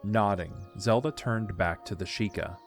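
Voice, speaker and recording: A man's voice: lowish voice, moderate pace. A man reading scripted narration for an audio drama story, with music behind him.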